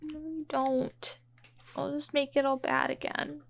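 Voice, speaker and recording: High-voiced woman role-playing casually. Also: unhurried, vocal fry. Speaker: player in tabletop role-playing podcast. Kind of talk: casual in-character role-play and chat.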